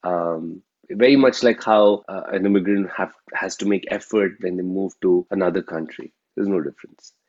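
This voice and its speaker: Man, Indian accent, native Telugu, thoughtful guest recounting his life, often asks "right?", somewhat breathy, muffled low-fidelity sound. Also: moderate pace, few pauses, a little mellow. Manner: reflective, often ends phrases with a questioning "right?". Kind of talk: reflective personal storytelling.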